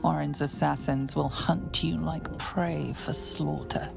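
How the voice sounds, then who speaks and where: Low, gravelly woman narrating gravely. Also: fairly even intonation, music in the background. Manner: grave, measured, storybook. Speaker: game narrator. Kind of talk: scripted narration.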